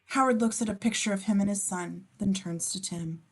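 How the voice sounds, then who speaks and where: A woman's voice: mid-range voice, a little fast, husky. A woman reading a script's scene descriptions at a table read, informal and playful, with casual asides. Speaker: stage-direction reader.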